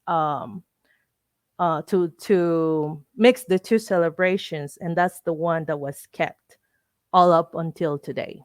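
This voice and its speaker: A woman from Mexico with a Mexican accent, a native Spanish speaker, giving an informal educational presentation in a halting, explanatory way, searching for words. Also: lowish voice, clean tone, no room sound. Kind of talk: informal educational talk with slides.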